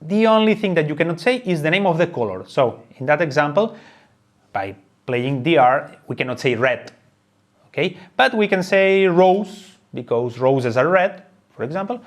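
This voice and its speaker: A man's voice: on the high side, animated, moderate pace. A man presenting an unscripted how-to explanation of a game. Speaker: presenter of a board game tutorial.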